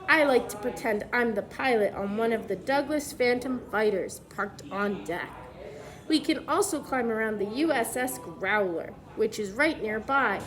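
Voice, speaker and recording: A woman reading a picture book aloud to young children, pausing to explain things simply as a preschool teacher would. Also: fairly high pitch, rough recording.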